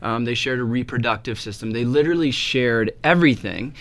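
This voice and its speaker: A man's voice: medium pitch, fairly quick, fairly bright tone. A chiropractor, a man, patiently explaining health topics on a talk show, often checking in with "right?". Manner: patient explainer, frequent tag questions. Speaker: chiropractor guest.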